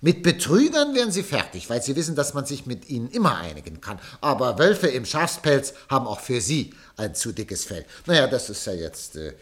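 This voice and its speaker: An actor playing a wily, scheming newspaper owner in a German radio play, theatrical, ironic and flattering. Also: male voice, medium pitch, moderate pace, hardly any pauses. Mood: bitter, agitated. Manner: expressive, ironic.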